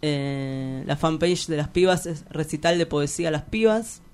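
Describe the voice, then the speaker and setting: A woman's voice: low voice, animated, unhurried, a little mellow, slight background noise. A young Argentine woman with a Rioplatense accent, giving a casual interview in a rambling, hesitant, slangy and giggly way. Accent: Rioplatense Spanish. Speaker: interview guest, poet.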